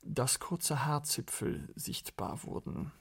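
Gravelly, husky male narrator. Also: fairly high pitch, lively intonation, slow, a bit bright. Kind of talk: scripted literary narration for a radio play.

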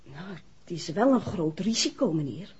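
A woman's voice: fairly low pitch, sing-song, normal speed, a little mellow, slightly rough, exaggerated voice. An actress playing an anxious but determined mother in a 1960s Dutch radio drama, earnest and deferential. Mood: anxious, resolute. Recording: dull, muffled vintage radio drama recording.

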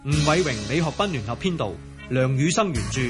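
A man's voice: on the high side, expressive, brisk. A man announcing radio drama credits in a formal, scripted style. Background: theme music.

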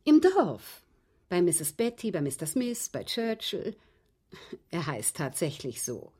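Radio play casting: a composed, well-mannered widow with a secret, lowish husky voice, precise and faintly amused. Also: female voice, wildly swooping pitch, slow pace, a little mellow, heavily acted. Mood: chatty, matter-of-fact. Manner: polite, precise, unruffled, coolly amused. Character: genteel, composed widowed lady calmly confessing to poisoning. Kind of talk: German radio drama acting.

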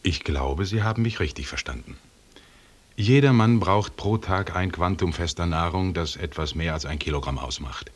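An actor playing an authoritative expedition leader in a German radio drama, speaking in a formal, precise, lecturing manner with a husky voice. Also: male voice, extremely low pitch, exaggerated voice. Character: authoritative British expedition leader, pedantic and commanding.

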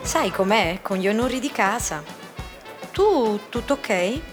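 A woman's voice: on the high side, expressive, unhurried. An actress playing a dry, irritated, argumentative female party hostess in a radio drama with inner monologue. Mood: politely dry. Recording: music behind the voice.

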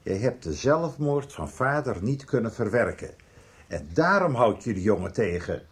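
Earnest, reproachful brother, lively intonation. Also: male voice, mid-range voice, normal speed. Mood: earnest, confronting. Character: earnest, reproachful brother confronting his stubborn sibling. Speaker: radio drama actor. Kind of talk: Dutch radio soap acting.

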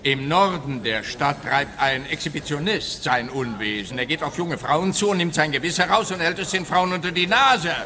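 A pompous police officer, bright-voiced. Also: male voice, a little high, animated, normal speed, hardly any pauses, long reverb tail. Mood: self-important. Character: male police officer, smug, pompous, grumbling, needling a private detective. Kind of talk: German-language radio comedy crime play.

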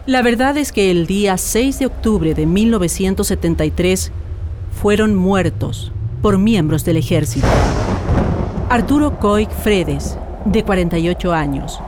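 A woman's voice: medium pitch, lively intonation, a little slow, noisy background, natural voice. A woman narrating a radio docudrama in a solemn, scripted style. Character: solemn female narrator recounting the dead. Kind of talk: scripted documentary drama narration.

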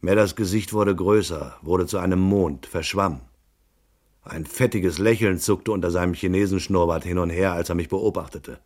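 An actor playing a hard-boiled, laconic detective in a noir radio drama, narrating in a terse, deadpan way. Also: male voice, low-pitched, moderate pace. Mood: dry, weary. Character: hard-boiled male private detective, laconic and world-weary.

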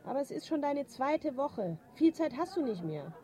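An actress playing a concerned, sceptical woman in a radio drama, gently questioning. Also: sits high, big pitch swings, normal speed. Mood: worried. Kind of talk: naturalistic radio drama acting.

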